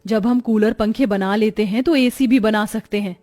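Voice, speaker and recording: A woman's voice: medium pitch, normal speed, warm tone, natural voice. A woman voicing an earnest, hopeful young wife in a moral-story cartoon. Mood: persuasive.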